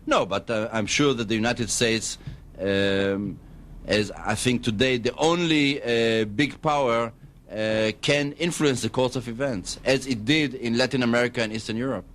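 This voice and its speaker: Male official with Israeli accent, medium pitch, diplomatic and hesitant, answering formally in a radio interview. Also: a bit bright, a little hiss or hum. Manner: measured, diplomatic, halting with long hesitations. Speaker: embassy spokesman, radio guest.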